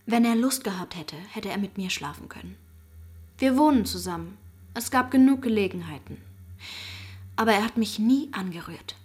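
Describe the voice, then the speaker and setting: Young woman, radio crime drama: breathy, husky, stubborn, morally rigid, loyal and defiant. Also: mid-range voice, animated, normal speed. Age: young adult.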